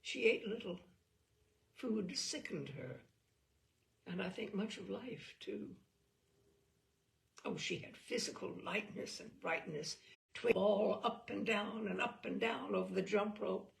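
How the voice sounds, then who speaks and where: A woman reading a short story aloud in an expressive, measured, dramatic storytelling manner. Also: mid-range voice, creaky. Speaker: reader of short fiction. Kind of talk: expressive literary narration read aloud.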